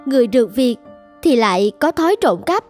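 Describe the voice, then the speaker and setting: A woman's voice: a little high, sing-song, brisk, a little mellow, soft background music. A woman voicing a polite, dutiful young mother in a cartoon, speaking earnestly. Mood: weary complaint. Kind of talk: cartoon dubbing.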